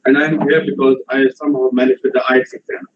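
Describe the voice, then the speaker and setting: A man's voice: mid-range voice, level tone, fast, muffled recording. A man from Pakistan introducing himself to the class, hesitant and joking. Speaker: course student. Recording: distant, hissy classroom stream.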